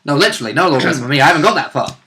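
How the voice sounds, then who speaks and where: Higher-voiced, animated man bantering wryly. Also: racing, slight background noise. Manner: joking, wry. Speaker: player in a tabletop role-playing game. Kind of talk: casual banter.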